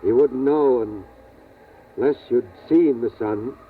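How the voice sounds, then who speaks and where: A man's voice: medium pitch, a little hiss or hum, close to an everyday voice. Man playing a grave father, tinny vintage radio. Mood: wistful, firm. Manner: measured, grave, halting reassurance. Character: protective, weary father of a family surviving catastrophe. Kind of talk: 1950s radio drama acting.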